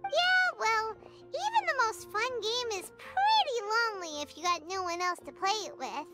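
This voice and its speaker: Casting: tiny excitable fairy sidekick for a game, squeaky, extremely high and animated. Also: female voice, moderate pace. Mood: chatty. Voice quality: squeaky, childlike. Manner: refers to self in third person, exclamatory. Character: small childlike fairy companion girl, excitable and blunt. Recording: prominent background music.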